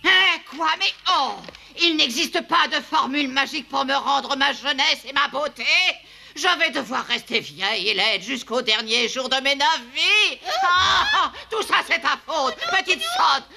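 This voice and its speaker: A woman's voice: high voice, lively intonation, a little slow, gravelly, pushed and bright, full character voice. A woman playing a vain, wicked, scheming queen in a French cartoon dub, theatrical, gloating and imperious. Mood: furious, wailing. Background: music.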